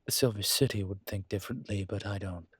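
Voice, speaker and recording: Man acting a deep, husky, wry demon. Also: fairly even intonation, a little fast, fairly bright tone, no room sound. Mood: firm, wry. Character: wry, worldly male incubus demon, smooth-talking and sly. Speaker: audio roleplay character. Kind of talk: dramatic character roleplay acting.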